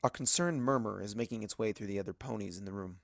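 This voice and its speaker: Creaky-voiced man narrating a story. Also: on the low side, fast talker, no room sound, clean studio sound. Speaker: audiobook narrator of fan fiction. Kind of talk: first-person dramatic scripted narration.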